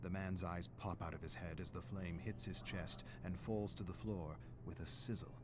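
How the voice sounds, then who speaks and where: Man acting deep-voiced, brooding scholarly archivist. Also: steady tone, background noise, natural voice. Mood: grim. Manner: grave, deliberate, brooding. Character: weary, morbidly fascinated scholarly male archivist keeping dark records. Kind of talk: dramatic scripted game narration. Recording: clear music behind the voice.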